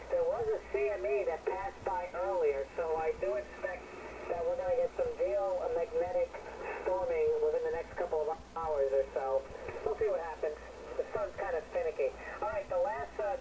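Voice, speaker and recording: A man checking in on amateur radio, giving a jovial, rambling, folksy report over a shortwave link. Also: a little high. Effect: shortwave radio transmission. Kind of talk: casual report and anecdote over ham radio. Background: radio noise.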